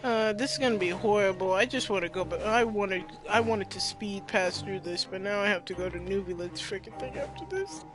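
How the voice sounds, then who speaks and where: A woman's voice: medium pitch, a little fast, few pauses, poor audio. A teenage girl giving casual playthrough commentary, slangy, sweary and exasperated. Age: teens. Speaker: game streamer. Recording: game music under the commentary.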